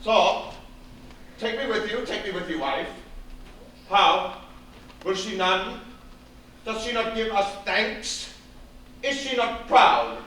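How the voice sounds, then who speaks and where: Male actor as an enraged aristocratic father, slow and high-pitched, roomy stage sound. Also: animated, exaggerated voice. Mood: stern, scornful. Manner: swings from low menace to shouting tirades. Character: stern, raging aristocratic father, middle-aged man. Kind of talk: Shakespearean stage acting. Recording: echoey stage from far off, faint noise.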